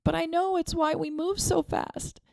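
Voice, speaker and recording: Woman doing a young grieving girl, high and shaky, stammering through tears; storybook read-aloud. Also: fairly even intonation, normal speed, gravelly, darkish tone, dry recording. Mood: tearful.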